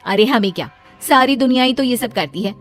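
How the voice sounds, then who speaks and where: High-pitched young daughter-in-law, played by a woman. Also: a little fast, soft background music, close to an everyday voice. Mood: reluctant. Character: young devout daughter-in-law, dutiful but reluctant worker.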